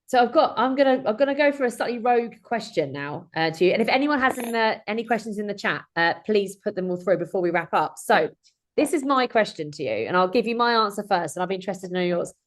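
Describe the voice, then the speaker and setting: A woman's voice: mid-range voice, expressive, quick pace. Female host, 30s, mid-range voice, conversational and rambling, video-call setting. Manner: chatty, informal, rambling with frequent self-corrections. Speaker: webinar host in recruitment. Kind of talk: informal webinar discussion. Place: indoor room at home or office, on a video call. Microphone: video call microphone.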